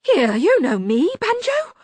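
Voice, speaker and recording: A woman voicing an angry older locksmith and criminal in an audiobook, blustering and scornful, with rough colloquial grammar. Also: fairly high pitch, sing-song, normal speed, bright, forceful tone, no echo, voice pushed up. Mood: panicked pleading. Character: angry older male locksmith, cocky working-class criminal. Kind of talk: audiobook character voice.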